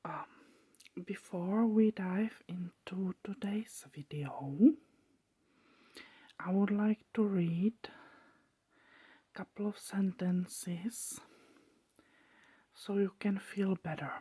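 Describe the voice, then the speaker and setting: A woman reading softly in a halting, hushed ASMR whisper, close up. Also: medium pitch, husky, no room sound. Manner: soft, slow, hushed, halting delivery. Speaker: ASMR creator.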